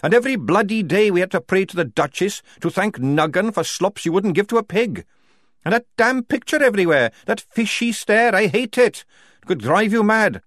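Male narrator doing a furious young female private, high, loud and growling. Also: animated, normal speed, dry recording, studio quality, natural voice. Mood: bitter. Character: angry young female soldier, bitter and defiant.

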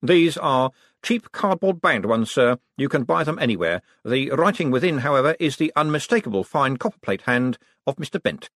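A man's voice: medium pitch, animated, moderate pace, a bit bright, dry recording, studio quality, pitched above the performer's own voice. A man doing a prim, precise clerk. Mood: matter-of-fact.

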